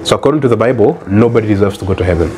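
A young Kenyan man witnessing outdoors at a building entrance, earnestly quoting Bible verses slowly, recorded by a nearby camera with street noise. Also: on the low side. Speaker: street evangelist. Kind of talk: doorstep soulwinning conversation. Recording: noisy outdoor ambience.

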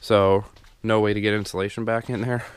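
Rambling low-voiced man touring his basement. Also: flat delivery, fairly quick, slight background noise. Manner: rambling, many ums and restarts. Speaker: DIY home renovation vlogger. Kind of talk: casual walkthrough narration. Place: unfinished basement under renovation. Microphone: handheld camera mic, filming as he walks.

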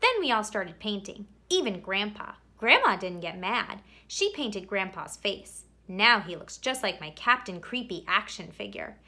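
Very animated woman reading a picture book. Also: medium pitch. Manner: animated, theatrical emphasis, drawn-out words. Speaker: read-aloud storyteller. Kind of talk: expressive children's picture book read-aloud.

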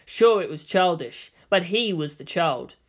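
A narrator reading fiction aloud as a dramatic scripted narration, with a medium-pitched voice. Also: lively intonation, unhurried. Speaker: story narrator.

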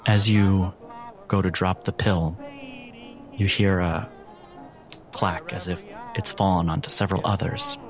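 A man working as game master, narrating a dramatic tabletop horror game deliberately, with pauses between phrases. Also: sits low, very animated. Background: music.

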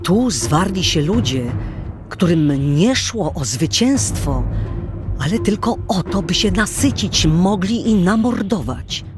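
A woman's voice: on the low side, very animated, slow, over music. A woman narrating an old tale as scripted audio drama, in a solemn, theatrical manner.

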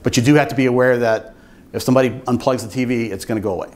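An older man presents technical material to a meetup audience. He explains in an informal, measured way, with a voice of ordinary male pitch. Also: fairly even intonation, darkish tone. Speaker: software engineer giving a talk. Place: meetup room with seated audience, indoors.

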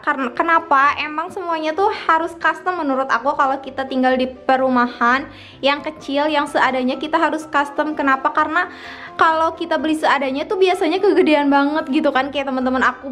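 High-voiced young woman chatting over music. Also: a bit bright. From Indonesia. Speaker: vlogger giving a home tour. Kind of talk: casual chat to viewers. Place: her own small house, front yard then living room. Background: background music.

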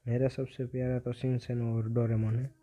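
A man's voice: mid-range voice, flat delivery, moderate pace, warm tone. A teenage male giving casual gameplay commentary as a gaming video creator. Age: teenager, about 15. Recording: dull, lo-fi phone-like audio.

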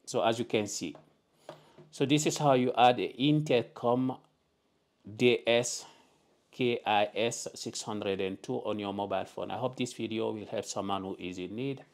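Low-voiced man giving steady instructions. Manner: plain, deliberate instructions. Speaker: tutorial host. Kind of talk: step-by-step device tutorial. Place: indoors at a desk.

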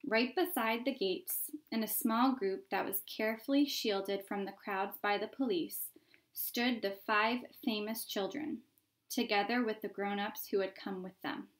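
A woman's voice: medium pitch, unhurried. A woman in her thirties reading a children's book aloud as narrator. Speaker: reader. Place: indoor room at home by a window.

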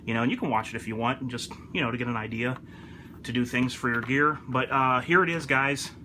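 A man's voice: medium pitch, brisk, background noise. A man talking casually to camera as a hobbyist presenter, informal and repeating his points for emphasis. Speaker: gun enthusiast presenter. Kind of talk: casual product review to camera.

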